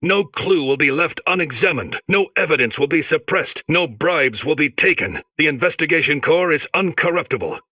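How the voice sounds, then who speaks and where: Officious robot investigator: rather high, sharp, clipped delivery for a comic video game. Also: male voice, expressive, moderate pace, few pauses, no room sound, studio quality. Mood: stern, officious. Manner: clipped, formal, declarative.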